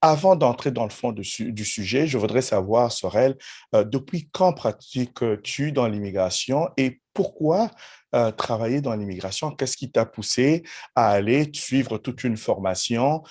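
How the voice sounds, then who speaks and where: A man hosting a podcast, putting conversational questions to his guest in a halting, rephrasing manner. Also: medium pitch, expressive, darkish tone. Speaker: podcast host interviewer. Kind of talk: conversational interview.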